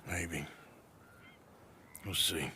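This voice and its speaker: Gruff American male outlaw, game cinematic: weary, terse drawl, lowish and forceful, faint noise behind. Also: fairly even intonation, very slow, full character voice. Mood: weary, resigned. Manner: drawling, plain-spoken, terse. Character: weary, gruff outlaw gunman, loyal but doubting himself. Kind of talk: video game cinematic acting.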